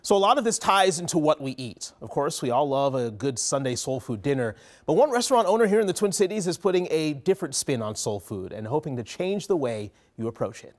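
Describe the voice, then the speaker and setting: A man's voice: a little high, very animated, fairly quick, slight background noise. A man presenting and chatting on a morning TV news show from a studio, upbeat and conversational, with a lapel mic. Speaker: news anchor and reporter.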